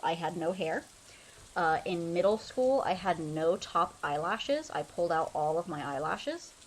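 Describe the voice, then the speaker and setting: A woman's voice: on the low side, lively intonation, a little slow, a little hiss or hum, low-quality recording. A young woman streaming a game, chatting casually in a loose, rambling way full of fillers. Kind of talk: casual chat while playing a game.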